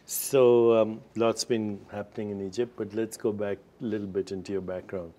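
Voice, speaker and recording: A man in his sixties hosting a studio interview, asking measured, inquisitive questions with short affirmations. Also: lowish voice, little pitch movement, dark tone. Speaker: interview host. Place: studio set with screens behind.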